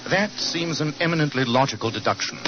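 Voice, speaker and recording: A man's voice: fairly high pitch, lively intonation, a little fast, lightly acted. A man playing a cool, logical English detective, precise and formal, with a British accent in 1940s radio acting. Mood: confident deduction. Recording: muffled, noisy 1940s radio recording.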